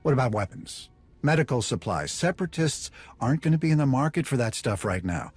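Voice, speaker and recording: Nervous chatty smuggler in a video game, raspy and slangy, recorded dry, swings up and down. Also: male voice, mid-range voice, very animated, a little fast, dry recording. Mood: anxious bargaining. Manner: slangy, folksy, rambling. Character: nervous, fast-talking male smuggler informant, chatty and evasive. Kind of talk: video game dialogue acting. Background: music.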